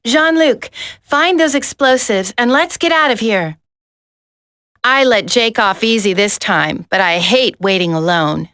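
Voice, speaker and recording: An actress playing a feisty, confident, sharp-tongued female soldier in a dramatic video game. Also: fairly high pitch, lively intonation, a little slow, no room sound, clean studio sound. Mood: impatient.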